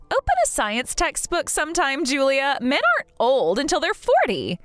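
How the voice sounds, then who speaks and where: A woman's voice: big pitch swings, moderate pace, fairly bright tone, no room sound, faint music behind. Woman voicing Cassie, a sneering bratty sister. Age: late 20s. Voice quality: sneering, high-pitched and mocking. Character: spiteful bratty young woman, the groom's younger sister.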